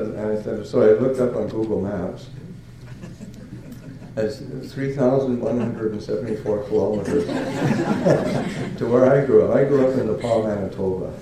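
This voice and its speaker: Halting older monk, slow and echoey. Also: male voice, fairly low pitch, background noise. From Canada. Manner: halting, many fillers and restarts, chuckles. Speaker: Buddhist monk. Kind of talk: dharma talk.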